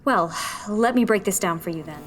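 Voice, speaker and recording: A woman's voice: mid-range voice, lively intonation, normal speed, close to an everyday voice, poor audio. A woman playing a determined young rebel engineer in a sci-fi audio drama, earnest and taking charge as she explains the plan. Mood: focused. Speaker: rebel leader. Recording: loud music over the voice.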